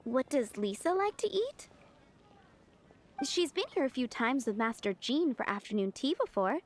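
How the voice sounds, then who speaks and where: A woman's voice: sits high, animated, moderate pace. A woman voicing a polite, chatty young restaurant server in a video game. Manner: friendly, polite.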